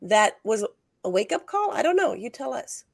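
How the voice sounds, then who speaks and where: A woman hosting an interview show, energetic and emphatic, her voice slightly hoarse today. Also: on the high side, normal speed, no room sound, studio quality. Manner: energetic, emphatic, restarts phrases. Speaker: podcast host. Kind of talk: scripted show opening and interviewing.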